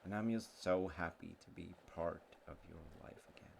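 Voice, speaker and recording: A sweet, sincere young-at-heart sweetheart, acted by a man in a romantic audio roleplay, with a very low voice full of gravel. He talks slowly and haltingly, tender and earnest toward an old friend he loves. Clear watery ambient noise runs behind him. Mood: loving, earnest. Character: sweet, slightly slow childhood friend, male, sincerely in love.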